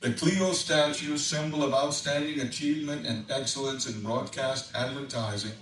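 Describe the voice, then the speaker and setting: A man's voice: mid-range voice, moderate pace, a bit bright. A man reading aloud to a gathering in a folksy, dramatic way. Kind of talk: animated live storybook reading. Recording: echoey room, distant mic, noisy.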